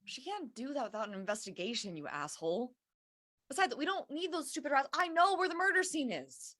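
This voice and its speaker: Woman playing a sharp, high-voiced, sweary cougar. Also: expressive, fairly quick. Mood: bitter, defiant. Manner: indignant, sweary, blunt. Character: foul-mouthed defiant female cougar accused of murder. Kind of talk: staged reading acting.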